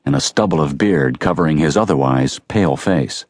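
Very deep-voiced man narrating a western. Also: brisk, darkish tone. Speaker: audiobook narrator.

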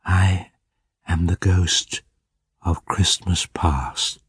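A solemn, ancient spirit from a storybook, as a man acts it. The voice is soft, low and husky, gentle yet commanding. It moves slowly and with measured weight. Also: no room sound, studio quality, exaggerated voice. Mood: quiet, solemn.

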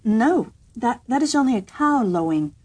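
A woman's voice: fairly high pitch, very animated, normal speed, darkish tone, voice pushed up. A woman voicing a small, humble kitchen girl in a storybook reading, speaking eagerly and sweetly. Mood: earnest.

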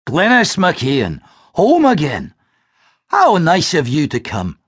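Man playing a jovial old gentleman. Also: on the high side, wildly swooping pitch, slightly rough, dry recording, studio quality, voice pushed up, heavily acted. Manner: warm-hearted, expansive, drawn-out. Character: jovial elderly gentleman, fond and hearty.

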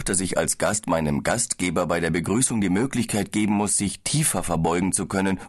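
Deep-voiced man explaining calmly. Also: breathy edge. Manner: calm, explaining, slightly tired. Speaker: business traveller in a language-course dialogue. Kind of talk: scripted audiobook dialogue.

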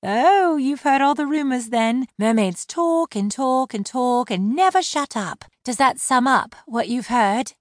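A woman's voice: high-pitched, lively intonation, a little slow, few pauses, no room sound, clean studio sound, pitched above the performer's own voice. A woman voicing a proud, prickly adult mermaid who insists on being treated with respect. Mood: defiant. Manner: indignant, crisp.

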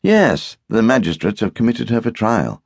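A man's voice: fairly low pitch, sing-song, moderate pace, vocal fry, no room sound, pitched above the performer's own voice, full character voice. A man voicing a laconic, absent-minded English husband in an audiobook, with a dry, curt, humorous manner.

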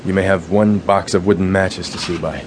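Man playing low, ominous fraternity ringleader. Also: fairly even intonation, noisy background, close to an everyday voice. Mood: commanding, solemn. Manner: slow theatrical storytelling, commanding. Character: solemn, ominous fraternity leader telling ghost tales and giving orders. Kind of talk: dramatic radio play acting.

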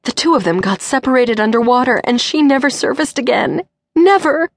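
A distraught mother , put on by a woman reading the story, who gasps and pleads through her tears. Her voice rides high and strained, and it swings wildly as she begs for help. The recording is close and dry. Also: lively intonation, a little fast, dry recording. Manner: gasping, tearful, pleading. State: crying. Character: panicked grieving mother, strong elegant woman.

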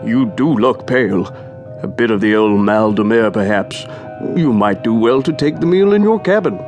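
An actor playing a genteel, drily witty dinner guest in a radio drama, polished and formal in speech. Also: male voice, lowish voice, lively intonation, moderate pace, a little mellow, faint music behind, lightly acted. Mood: politely concerned. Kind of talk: audio drama acting.

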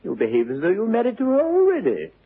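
An actor playing a witty, idle young gentleman with an upper-class English accent, arch and mock-serious in a vintage theatrical comedy style. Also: high voice, wildly swooping pitch, quick pace, breathy edge, heavily acted. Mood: teasing, emphatic. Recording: dull, muffled old radio recording.

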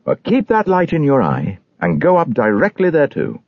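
A man voicing a solemn, steady evangelist guide in a storybook, deliberate and commanding. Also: medium pitch, no echo, highs cut off, pitched above the performer's own voice. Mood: instructing.

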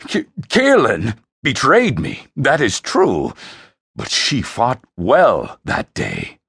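Man performing contemptuous emperor, raspy, commanding, wildly swinging pitch, fantasy audiobook. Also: huge pitch swings, slow pace, a bit bright, no room sound, studio quality, heavily acted. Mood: scornful anger. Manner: scornful, commanding, snorting disdain. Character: towering thunderous emperor, a contemptuous domineering father. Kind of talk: dramatic fantasy audiobook character voice.

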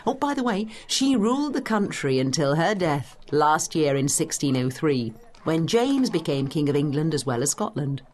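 A knowledgeable time-travelling history guide in an educational audio drama. Her voice sits a little low for a woman and swings animatedly as she explains things in a brisk, teacherly way. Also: very animated, darkish tone. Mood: informative, brisk.

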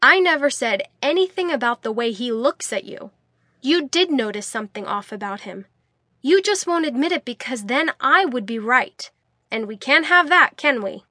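A woman's voice: high-pitched, lively intonation, moderate pace, bright, forceful tone, no echo, studio quality, voice pushed up, heavily acted. A woman voicing a jealous, protective young man who argues insistently. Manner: indignant, insistent.

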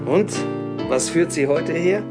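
A man's voice: on the high side, slow, over loud music. An actor playing a laconic, guarded male bar pianist with a casual, cagey manner in a German audio drama.

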